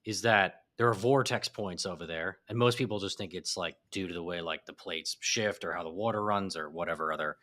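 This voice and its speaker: A man chatting as a podcast guest, an animated storyteller whose voice jumps up when he gets excited. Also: low-pitched, brisk, hardly any pauses. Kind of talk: casual chat. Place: apartment in a big city.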